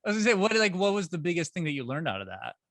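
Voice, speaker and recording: A man interviewing guests in a casual, informal, somewhat halting conversational way. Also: high-pitched, sing-song, fairly bright tone, no room sound. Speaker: radio interviewer.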